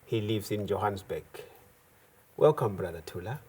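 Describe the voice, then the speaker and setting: A man hosting a formal discussion program, introducing guests and asking questions in a slow, deliberate way. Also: on the low side, expressive, darkish tone, husky. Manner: measured, deliberate phrasing. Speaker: host. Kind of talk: formal talk show hosting.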